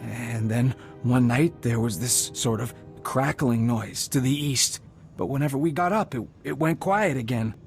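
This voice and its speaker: A man's voice: mid-range voice, a little slow, vocal fry, soft background music. A man playing an anxious, lonely stranded survivor in a video game, hesitant and earnest in his speech. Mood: reflective.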